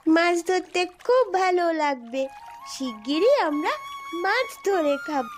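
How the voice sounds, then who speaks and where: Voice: very high voice, big pitch swings, unhurried, music in the background, heavily acted. A performer voicing a small, timid young daughter in a cartoon, with a childlike, plaintive manner. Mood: hopeful. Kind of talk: Bengali cartoon voice acting.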